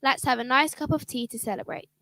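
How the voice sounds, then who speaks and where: A woman's voice: high-pitched, fast, gravelly, bright, forceful tone, dry recording, exaggerated voice. An actress playing a caring father who grows indignant on his daughter's behalf in a children's radio play. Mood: concerned. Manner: concerned, indignant. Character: caring father, protective and quick to anger.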